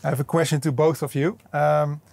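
A man's voice: fairly high pitch, little pitch movement, fairly quick, gravelly, a little mellow, no room sound. A man in his thirties interviewing guests outdoors, friendly and questioning, on a lapel mic. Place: outdoors at an industrial port site.

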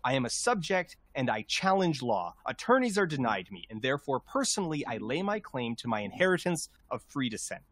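A man's voice: mid-range voice, very animated, a little fast, few pauses, a bit bright, no echo. A man playing a proud, aggrieved rebel nobleman, delivering verse in a formal, declamatory manner. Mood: indignant.

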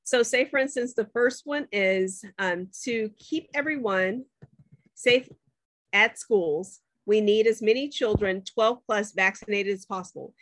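A woman's voice: mid-range voice, no room sound. Chatty woman teaching a class. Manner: conversational, checks in with students. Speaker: professor. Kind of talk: screen-share class demo.

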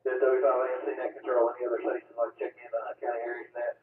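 A man runs an amateur radio weather net, reading warnings in a flat, even, procedural voice. His voice comes through a tinny radio channel, played back in an echoey room at a distance. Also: mid-range voice, very fast, slight background noise. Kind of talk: radio net reports and check-ins. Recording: tinny radio transmission heard from afar, echoey.

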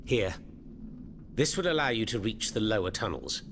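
Theatrical French revolutionary, animated swooping pitch. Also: male voice, moderate pace, a little hiss or hum. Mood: grave, explanatory. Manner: theatrical, florid, eloquent. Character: theatrical, fervent male French revolutionary hiding underground. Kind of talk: video game cutscene acting.

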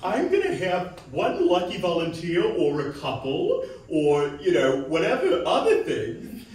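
A woman's voice: fairly low pitch, huge pitch swings, unhurried, darkish tone. A woman playing a boastful, self-important celebrity love-advice hostess on a small theater stage, with showbiz patter and giggles. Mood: grandiose. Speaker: comedy character performer. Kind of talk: improvised stage comedy. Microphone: far room camera. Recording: echoey theater from far off.

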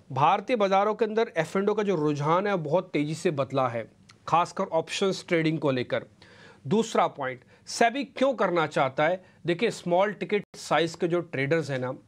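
A man's voice: on the high side, expressive, moderate pace. Emphatic middle-aged male market analyst. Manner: emphatic, rhetorical questions, mixes English words into Hindi. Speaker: market analyst on a business news channel. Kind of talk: explaining to an anchor on live TV. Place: TV news studio.

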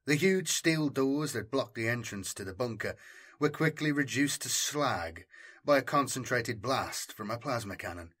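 A man's voice: medium pitch, animated, normal speed, fairly bright tone. A man reading military science fiction as an audiobook narrator, in a scripted, dramatic storytelling style.

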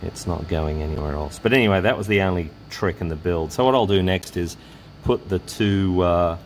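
A man presenting a hands-on electronics demonstration in a casual, halting way, thinking aloud as he works. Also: low-pitched, slight background noise. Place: indoor electronics workbench.

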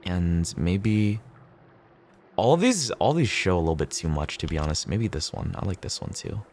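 A man's voice: sits low, lively intonation, moderate pace, a little mellow, slight background noise. A young man chatting casually on a gameplay livestream, slangy, sweary and laid-back. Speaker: streamer.

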